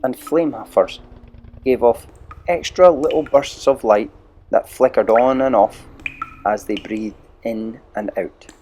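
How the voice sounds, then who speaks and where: A man reads a children's adventure story aloud at a slow, deliberate pace, leaning on dramatic pauses. His voice sits at an ordinary middle pitch, and a faint hiss of noise lies under the recording. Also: darkish tone. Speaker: narrator.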